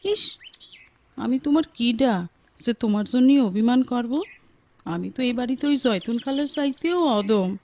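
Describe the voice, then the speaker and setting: An actress in a radio drama playing a coy, sulky but loving young village wife. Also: female voice, on the high side, moderate pace, mellow, lightly acted. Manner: coy, pouting. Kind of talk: Bengali radio drama acting.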